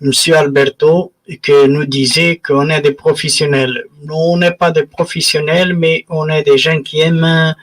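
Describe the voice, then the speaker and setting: A man hosting an informal interview, addressing listeners and asking questions in a halting, word-searching way. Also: a little high, flat delivery. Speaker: interviewer and host.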